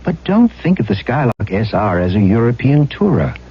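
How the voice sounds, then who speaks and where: A man with a husky, rough voice reading a 1970s radio car advertisement as an announcer. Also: mid-range voice, big pitch swings, moderate pace. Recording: dull old radio recording.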